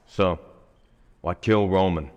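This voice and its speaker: A man's voice: low voice, fairly even intonation, takes it slowly, darkish tone, muffled recording. A man playing a tough, accusing police detective in an audio drama, speaking in pointed, confrontational questions. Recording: long hall reverb.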